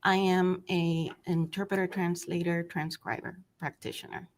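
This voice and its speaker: A Cuban-born woman whose first language is Spanish, testifying as a court interpreter, soft-spoken and hesitant with many ums. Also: lowish voice, flat delivery, normal speed. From Cuba.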